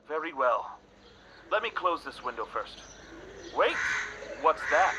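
An actor playing a mysterious, pompous game master with deliberate, formal, theatrical delivery in an audio drama. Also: male voice, fairly high pitch, very animated, pushed and bright, slight background noise, heavily acted. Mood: exasperated. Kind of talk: comedic audio drama acting.

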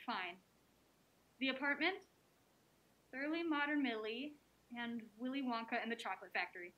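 A woman acting an anxious, sharp-tongued young working woman named Alma in a theatrical old-time radio-style drama, with snappy, dry retorts. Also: a little high, lively intonation, moderate pace, muffled recording, natural voice.

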